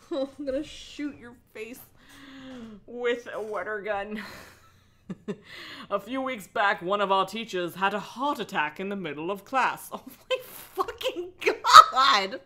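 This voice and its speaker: A woman livestream host cold-reading aloud with mocking asides, animated, sarcastic and giggly, with casual swearing. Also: on the high side, a little slow, a little hiss or hum.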